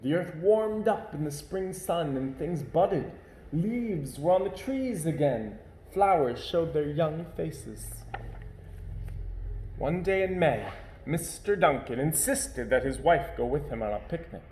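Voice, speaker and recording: A man's voice: on the high side, slow, roomy sound, a little hiss or hum, low-quality recording. Man reading a children's story aloud. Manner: expressive, varied reading. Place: home.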